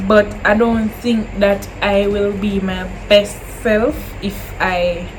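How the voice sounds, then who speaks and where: A young woman of twenty with a Jamaican accent, talking through viewer questions in a relaxed vlog. Her voice sits at a middle pitch, and she drifts easily into Creole phrasing. A faint hiss of noise runs under her. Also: takes it slowly, low-quality recording. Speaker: YouTuber answering viewer questions. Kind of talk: casual Q&A chat to camera.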